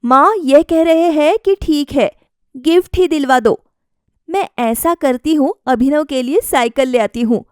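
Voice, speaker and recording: A self-assured young wife voiced by a woman narrator with a high voice and a dry recording. She is polite yet defiant, reasoning firmly as in a family storybook drama. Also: unhurried, no room sound. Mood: earnest. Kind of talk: acted dialogue in a family story.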